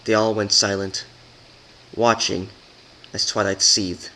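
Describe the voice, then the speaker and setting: A man's voice: on the low side, little pitch movement, slow, pushed and bright, low-quality recording. A man giving a dramatic, scripted reading of a story as its narrator.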